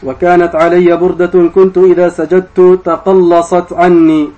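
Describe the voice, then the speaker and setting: A man reading classical religious text aloud as a student reciter, formal, measured and drawn out. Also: high-pitched, level tone, warm tone. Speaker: student reciter of hadith texts. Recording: dull, muffled sound.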